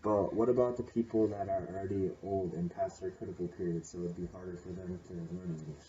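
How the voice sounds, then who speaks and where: Male interviewer, deep, dark tone, hesitant, distant and dull recording with faint ambience. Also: fairly even intonation, a little fast, a little hiss or hum. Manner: hesitant, with fillers between questions. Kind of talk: casual interview questions. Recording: muffled voice from off the mic.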